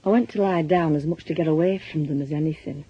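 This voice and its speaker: An actress playing a weary mother confiding in a radio drama monologue, with a soft husky voice and a Northern English accent. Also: low voice, fast talker, muffled recording.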